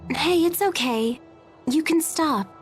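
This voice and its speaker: A woman's voice: high-pitched, takes it slowly. A woman voicing a perky, caring teenage girl in an anime game, casual and friendly. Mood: apologetic, consoling. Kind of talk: anime game dub acting. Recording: music behind.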